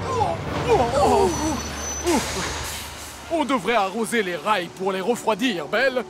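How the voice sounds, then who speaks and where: A man's voice: sits high, big pitch swings, takes it slowly, over loud music, exaggerated voice. A man voicing an earnest male fire engine in a children's cartoon dub, given to exclamations. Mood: concerned.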